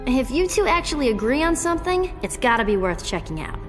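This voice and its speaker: A woman playing a level-headed, inquisitive teenage girl from a hero team in an animated game cutscene. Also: sits high, a little fast. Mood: sensible. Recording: music behind the voice.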